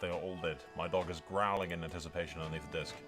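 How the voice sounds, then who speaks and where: A man's voice: sits low, steady tone, very fast, a bit bright, faint music behind. A man giving casual, blokey game commentary, swearing freely and addressing his viewers. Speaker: gaming video host.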